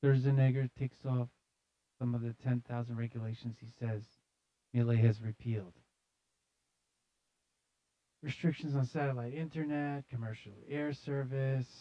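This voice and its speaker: A man's voice: mid-range voice, fairly even intonation, moderate pace, no room sound, highs cut off. A man hosting a live news show, casually reading articles aloud with jokey, slangy, sardonic commentary.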